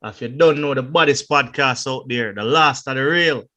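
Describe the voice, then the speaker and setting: A man's voice: medium pitch, pushed and bright. A man hosting a podcast in a loose, upbeat, casual way. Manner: upbeat, loose, drawn-out hype phrasing. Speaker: podcast host.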